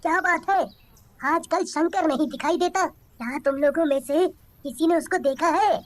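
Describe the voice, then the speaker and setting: Woman acting a concerned cartoon villager, extremely high voice, earnest, dry close sound. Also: normal speed, dry recording. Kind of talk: cartoon story dialogue.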